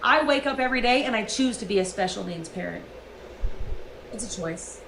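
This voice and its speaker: A woman's voice: medium pitch, expressive, fairly quick, gravelly, echoey room, background noise. A woman livestreamer delivering a profane, emphatic rant.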